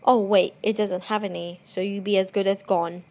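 A woman's voice: medium pitch, little pitch movement, moderate pace, darkish tone, no room sound, lightly acted. A woman voicing a snarky, unimpressed demon-hunter pony in a cartoon drama, dry and sarcastic. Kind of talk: animated cartoon audio drama.

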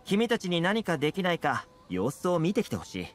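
A man voicing an easygoing, confident young fixer in a Japanese video game, talking smoothly and persuasively. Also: on the high side, wildly swooping pitch, brisk. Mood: composed, persuasive. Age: young adult.

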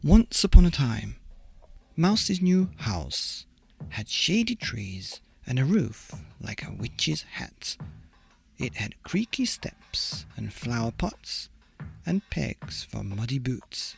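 Slow, animated man reading a bedtime story. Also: mid-range voice, vocal fry, faint music behind. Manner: theatrical, deliberate. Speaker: bedtime story podcast narrator. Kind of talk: expressive children's storybook narration.

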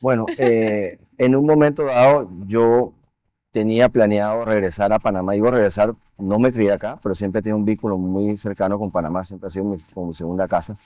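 A man, a guest in a studio TV interview, speaking in a measured, reflective way with frequent fillers. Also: on the low side, fairly even intonation, mellow, no echo. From Venezuela. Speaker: interview guest, finance executive. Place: TV studio set. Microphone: lapel mic.

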